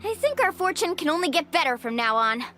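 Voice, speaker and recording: A woman voicing an upbeat, confident young adventure guide in an anime-style video game, lively and take-charge. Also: very high voice, brisk, over music.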